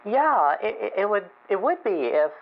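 A woman playing a lonely, gentle chicken farmer calling in to a radio show, hesitant and halting with nervous chuckles, over a muffled call. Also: medium pitch, big pitch swings, vocal fry. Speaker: caller.

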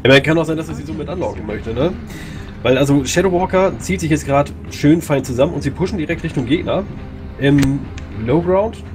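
A man casually commentating a video game match, chatty and quick to react. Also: a little high, over music. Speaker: esports commentator.

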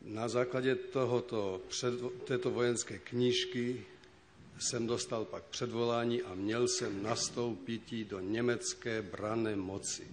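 A man from the Czech lands giving measured testimony as a witness in court. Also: mid-range voice, steady tone. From Czech lands (Sudetenland). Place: large courtroom. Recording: old tape, hall reverb, faint hiss.

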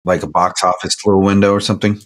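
A man's voice: deep voice, flat delivery, fast. A man chatting casually as a player in a tabletop game.

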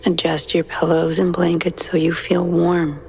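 A woman guiding a sleep meditation in a slow, soothing, hushed manner with long pauses. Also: on the low side, little pitch movement, slight background noise. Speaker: meditation guide. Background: soft background music.

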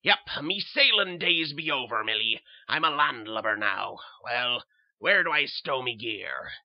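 A man voicing a gruff, bullying old retired sea captain who barks orders in salty seaman's slang. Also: medium pitch, lively intonation, measured pace, no echo, dull audio. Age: older. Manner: bellowing pirate-style seaman's talk.

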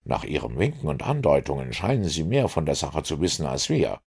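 A man's voice: rumbling low, wildly swooping pitch, a little fast, gravelly, darkish tone, slightly rough, heavily acted. A man voicing an impatient police inspector who presses bluntly for an answer.

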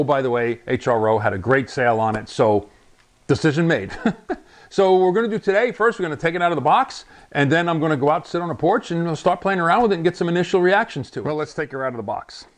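A man's voice: fairly high pitch, lively intonation, fast talker. Chatty older man unboxing a radio. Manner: chatty, rambling, chuckles often. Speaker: ham radio channel host. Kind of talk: casual product unboxing vlog. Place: home radio room, indoors. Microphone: lapel mic.

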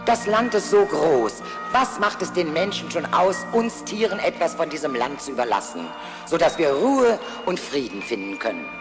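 Casting: a solemn, gentle goose leader, highish swooping voice, cartoon drama, pleading delivery in a reverberant hall. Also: male voice, sing-song, moderate pace. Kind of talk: cartoon audio drama acting. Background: music.

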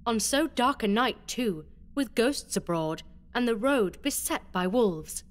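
A man's voice: animated, normal speed. A man putting on a cold, mocking young noblewoman. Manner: cool, mocking, falsetto.

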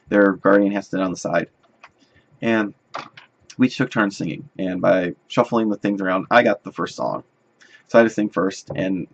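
A man in his twenties chatting casually to camera as a vlogger, telling his story in a rambling, halting way on a dull, lo-fi recording. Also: sits low, creaky, a little hiss or hum. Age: 20s. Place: indoors in front of a draped backdrop. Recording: dull, lo-fi webcam-style sound.

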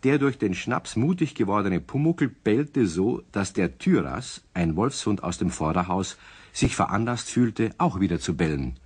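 A man narrating a 1960s radio play in a measured, storytelling way. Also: lowish voice, sing-song, darkish tone, slight background noise.

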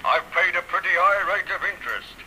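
A man's voice: sits high, expressive, a little fast, sharp tone, slight background noise, full character voice, rough recording. An actor playing a grumpy, careworn old Australian country father in a 1930s radio serial. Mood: worried, confiding. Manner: irritable, muttering while adding sums.

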